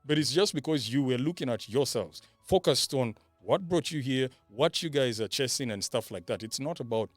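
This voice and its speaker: A man's voice: medium pitch, lively intonation, normal speed, hardly any pauses, no echo, soft background music. A man giving casual motivational talk on a podcast panel, emphatic and repeating phrases for effect.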